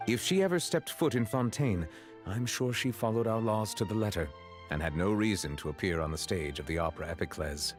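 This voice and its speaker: A man acts a grave, composed chief justice from a video game, with a low-pitched voice. He speaks in stately, measured, courteous phrases, with music underneath. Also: close to an everyday voice. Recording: music behind the voice.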